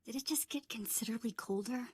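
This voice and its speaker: An actress playing a cheeky young slave companion in a video game, casual, teasing and sarcastic. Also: female voice, fairly high pitch, quick pace, a little mellow, breathy edge, no room sound. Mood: wry teasing.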